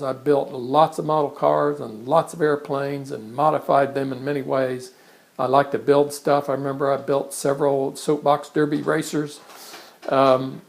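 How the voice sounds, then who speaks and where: Gravelly older man chatting about himself. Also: a little high. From born in England, raised in Kansas. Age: 60s. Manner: conversational, halting with frequent restarts and fillers. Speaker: artist introducing himself. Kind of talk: casual introductory monologue to camera. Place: his art studio in front of a blank canvas.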